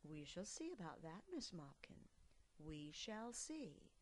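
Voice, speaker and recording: A woman voicing a gentle mother bear who speaks softly, calmly and without hurry in a storybook. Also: mid-range voice, a little hiss or hum. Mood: soothing. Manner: soft, calm and unhurried. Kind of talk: storybook character voice.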